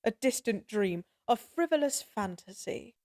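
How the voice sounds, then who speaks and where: A woman playing a lonely, grieving goddess in an audio drama, speaking haltingly and with raw, pleading emotion. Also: on the high side, lively intonation, a little mellow. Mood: weary longing. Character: sorrowful, lonely forsaken goddess, grieving and guilt-ridden. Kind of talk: scripted audio roleplay drama acting.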